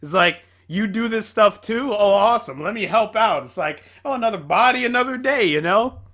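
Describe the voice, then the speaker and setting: Casting: male reaction host, high bright voice, casual and exclamatory. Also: expressive, moderate pace. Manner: exclamatory, swearing.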